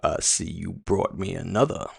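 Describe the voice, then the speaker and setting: A male narrator's take on a theatrical cyberpunk villain in audio fiction. The voice sinks very low into a hollow, gravelly murmur. It moves slowly, with mocking courtesy and gloating menace. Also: heavily acted. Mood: menacing amusement. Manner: slow, mockingly courteous, boastful. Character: gloating, theatrical masked male villain with mind-control powers.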